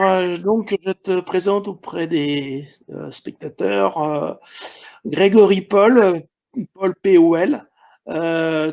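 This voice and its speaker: Gravelly halting male interviewer, lo-fi. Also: sits high, darkish tone. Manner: halting, filler-heavy questions. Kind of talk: casual interview talk. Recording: dull lo-fi sound.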